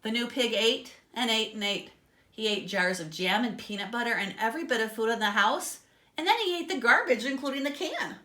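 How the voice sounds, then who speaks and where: Bright-voiced woman reading a children's story. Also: fairly high pitch, brisk. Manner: expressive, dramatic. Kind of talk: children's read-aloud with asides to listeners. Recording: echoey, roomy sound.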